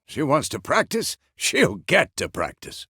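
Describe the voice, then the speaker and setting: An actor playing a gruff, stern but fatherly old monster hunter in a video game, with a gravelly voice and a terse, weary, commanding manner. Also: a little high, huge pitch swings, unhurried, sharp tone, no echo, studio quality, exaggerated voice. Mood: stern, grumbling. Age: older. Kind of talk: dramatic game character dialogue.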